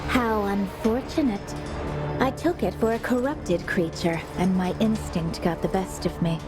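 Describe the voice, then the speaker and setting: Cold female reaper played by a woman for fantasy game: formal, haughty, very unhurried, loud music underneath. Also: medium pitch, lightly acted. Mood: cold, threatening. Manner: formal, measured, threatening. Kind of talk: dramatic fantasy game acting.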